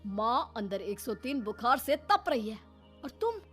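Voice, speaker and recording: Give the cartoon a woman acting as an exasperated young husband. The voice is fairly high, stern and reproachful, with emphatic delivery over music. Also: sing-song, unhurried, fairly bright tone. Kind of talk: animated Hindi story acting.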